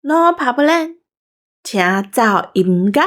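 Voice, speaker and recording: Lively, higher-voiced Taiwanese woman co-host. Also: takes it slowly. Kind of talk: scripted casual chat.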